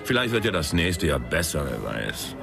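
Radio noir lead: wisecracking self-styled tough guy, bottom-of-the-range bass, dry and deadpan, music underneath. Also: moderate pace, creaky, full character voice. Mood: dry, sardonic. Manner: laconic, deadpan, sardonic. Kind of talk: radio crime drama, first-person noir narration and dialogue.

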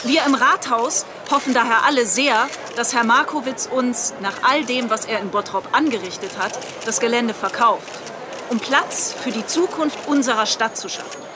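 A woman acting a calculating, polished mayor in a radio satire. Her voice is fairly high and she talks in formal statements over clear background noise. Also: normal speed, lightly acted. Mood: self-satisfied. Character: smooth-talking, calculating female town mayor. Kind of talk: German satirical radio drama.

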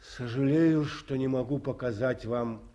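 A weary, dignified colonel back from the war, as an actor plays him. He speaks very slowly and solemnly in an even middle voice. This is a 1950s radio play. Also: mid-range voice, muffled recording, natural voice. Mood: grieving. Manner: slow, measured, solemn speech. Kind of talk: 1950s radio drama acting.

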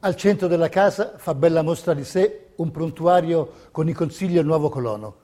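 Male narrator for documentary theatre, mellow and fairly high, rhetorical scripted delivery. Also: moderate pace. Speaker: stage narrator.

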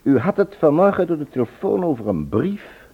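A polite, guarded man talks in an old radio drama. His voice is husky and lively in intonation, on a dull, lo-fi recording. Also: fairly high pitch, normal speed, full character voice. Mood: guarded, puzzled. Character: polite, smooth-mannered, guarded man receiving a questioner.

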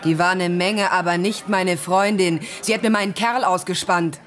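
Actress as a bitter, rough young streetwalker. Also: female voice, fairly low pitch, fairly even intonation, normal speed, natural voice. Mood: bitter, grim. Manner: coarse, aggrieved. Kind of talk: radio play acting.

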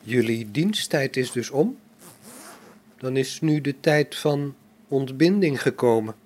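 A man's voice: medium pitch, lively intonation, takes it slowly, a little mellow. A man playing a serene, pious robot in a radio play, speaking solemnly and formally. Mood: solemn pity. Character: serene, pious, male robot, devoted to its maker.

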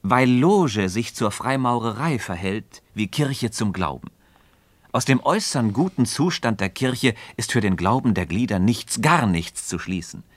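An actor playing a composed, wise Freemason mentor in a philosophical radio play, reasoning in a measured, didactic, gently ironic manner. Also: male voice, fairly low pitch, dry recording. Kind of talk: mid-century German radio play acting of philosophical dialogue.

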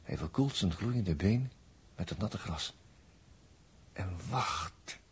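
A man's voice: low-pitched, expressive, slow, a little hiss or hum, full character voice. An actor playing a watchful, knowing male observer in a Dutch 1960s radio drama. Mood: hushed, intent. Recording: rough 1960s radio recording.

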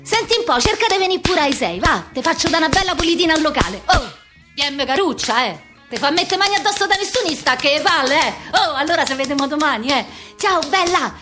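A woman's voice: high-pitched, animated, brisk, music in the background. A woman playing a pushy, gushing older hairdresser with a Roman accent in a theatrical monologue. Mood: gushing, bossy. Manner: gushing, bossy, chattering.

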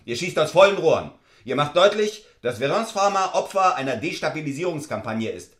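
A man's voice: a little high, big pitch swings, fairly quick, fairly bright tone, echoey room. A man playing a brusque, cynical middle-aged lawyer in a stage play, curt, commanding and condescending. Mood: commanding, irritated. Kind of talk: theatrical stage-play dialogue.

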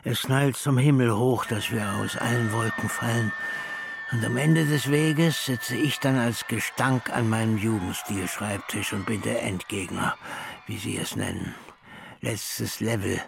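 An actor playing a pedantic school director in a theatrical drama, speaking in formal, wordy, deliberate phrases. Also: male voice, mid-range voice, fairly even intonation, gravelly, noisy background. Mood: bitter, brooding. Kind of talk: theatrical drama dialogue and monologue.

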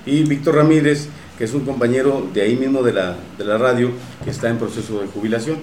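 A man with a Mexican accent talking casually as an interview guest about his radio work, often halting to search for words. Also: mid-range voice, a little mellow. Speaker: radio drama producer and guest. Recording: roomy echo with faint noise.

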